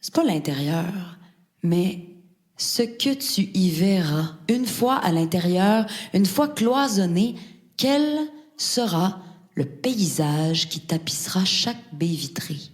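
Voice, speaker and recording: A woman's voice: fairly low pitch, animated, slow pace. An actress playing a dreamy woman delivering a poetic theatrical monologue, lingering on her words. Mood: dreamy, musing. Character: dreamy woman confiding a fascination with houses and landscapes. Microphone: stage microphone. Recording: music under the voice. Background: music, seashore waves and gulls.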